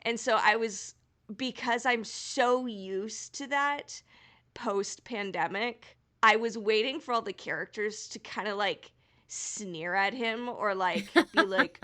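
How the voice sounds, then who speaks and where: A woman's voice: medium pitch, slow pace, bright, forceful tone. A woman co-hosting a podcast in casual fan discussion, giggly and enthusiastic in manner. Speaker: podcast co-host.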